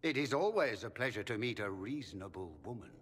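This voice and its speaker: An actor playing a proud, courteous older mage lord in a video game, speaking in a measured, formal, calculating way. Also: male voice, lowish voice, expressive, a bit bright. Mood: silky, appraising. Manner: smooth, measured, formal, with lingering pauses. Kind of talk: video game cutscene acting. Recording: long hall reverb.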